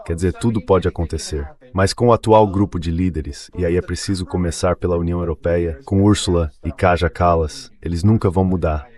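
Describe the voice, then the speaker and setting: A man's voice: deep voice, fairly even intonation, a little fast, few pauses, a little mellow. A synthetic male voice, dubbing a political commentator in discussion.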